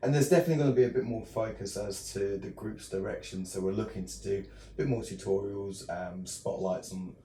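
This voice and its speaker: Southern English man, mellow, fairly low voice, echoey room, distant mic. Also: brisk, slight background noise. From southern England. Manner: relaxed, many ums and restarts. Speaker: interview guest, filmmaker. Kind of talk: casual interview answers.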